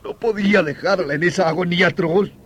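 A man playing an anguished, deranged killer of his beloved in a radio horror drama, with theatrical, halting delivery between whispers and outcries. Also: sits high. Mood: horrified, frantic. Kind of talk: melodramatic Spanish-language radio horror acting.

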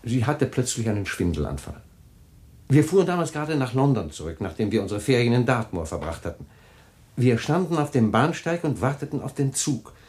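Radio play lead: man as a rich evasive husband, lively pitch, soothing but firm. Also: fairly quick, lightly acted. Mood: pained. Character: wealthy scheming husband, smooth and evasive. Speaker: husband James. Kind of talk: German radio drama acting.

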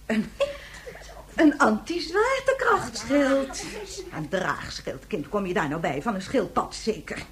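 A woman's voice: a little high, sing-song, slow, fairly bright tone, heavily acted. A woman playing a flustered schoolteacher in a radio play, instructing in a didactic, chiding way. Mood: flustered, scolding. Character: flustered, scolding woman schoolteacher in a future colony.

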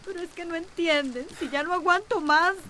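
Upset costa rican teen girl, high voice, radio soap, slight noise behind. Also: fairly quick, sharp tone. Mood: desperate. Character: distressed, vulnerable teenage girl from troubled home. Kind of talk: radio drama acting.

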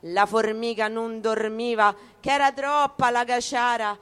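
A woman's voice: on the high side, fairly even intonation, measured pace, a bit bright, smooth. A woman with a Roman accent reciting a rhyming fable in a rhythmic storytelling style. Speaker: poetry reader.

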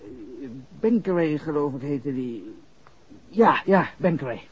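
A man's voice: a little high, expressive, slow pace, husky, exaggerated voice. An actor playing a nervous, shifty young man under police suspicion, stammering slangy lines in a 1960s radio drama. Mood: defensive, uneasy. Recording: muffled vintage radio recording.

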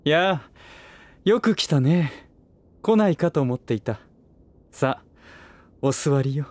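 A man's voice: a little high, animated, slow pace, darkish tone, a little hiss or hum. An actor playing a smug, condescending aristocratic businessman in a Japanese voice drama, with languid, lordly, teasing phrasing. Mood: breezy, teasing.

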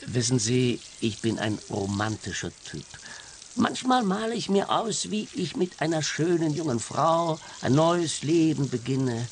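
Gravelly, resigned Swiss husband. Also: male voice, on the high side, sing-song, unhurried, slight background noise. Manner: matter-of-fact, world-weary. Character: resigned, henpecked middle-aged husband, client of a detective. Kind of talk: radio crime comedy acting.

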